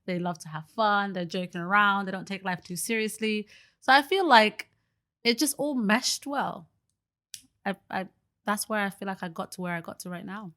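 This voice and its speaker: A woman's voice: medium pitch, moderate pace, fairly bright tone. A young woman talking as a podcast guest in a casual, earnest way, full of fillers and easy laughter. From Britain. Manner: chatty and earnest, many fillers like "like" and "you know"; laughs easily. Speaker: guest, early childhood educator.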